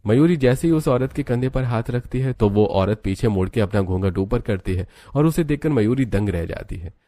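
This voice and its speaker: A man's voice: mid-range voice, animated, normal speed, warm tone, no echo. A man narrating a scripted moral story as its storyteller.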